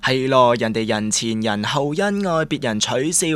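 An actress playing a cheeky, mocking student classmate in a radio drama, speaking wry Guangdong Cantonese. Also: female voice, extremely low pitch, expressive, normal speed.

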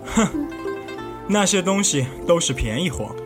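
Animated, self-assured young nobleman, played by an actor. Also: high voice, a little slow. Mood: indignant, familiar. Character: brash, generous young master of a rich house. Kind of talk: Chinese period audio drama acting. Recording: clear music underneath.

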